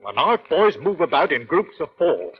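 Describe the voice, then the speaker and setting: An actor playing a dutiful British police subordinate in an old-time radio crime drama, reporting in a deferential, clipped, keen way. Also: male voice, a little high, sing-song, normal speed, slightly rough, full character voice. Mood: businesslike. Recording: dull, lo-fi vintage radio recording.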